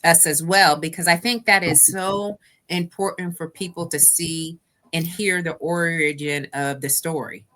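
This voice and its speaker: A woman's voice: low voice, fairly even intonation, a little slow, sharp tone. A woman hosting a casual talk show, asking questions in an informal, encouraging, chatty way. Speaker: talk show host, interviewer.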